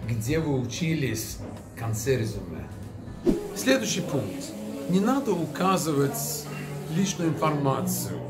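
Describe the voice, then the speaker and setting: Man in his fifties giving lively advice, roomy sound. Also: mid-range voice, distant mic. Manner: lively, direct, talks with his hands while holding a glass. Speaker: video host. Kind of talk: casual advice vlog to camera. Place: indoors in a decorated restaurant room. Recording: roomy, a little off-mic, music underneath.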